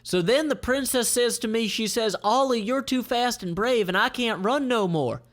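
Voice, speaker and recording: A man's voice: extremely high pitch, normal speed, a bit bright, voice pushed up. Man putting on raised-voice scheming commander. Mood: mocking recital. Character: scheming commander plotting to capture fleeing royals.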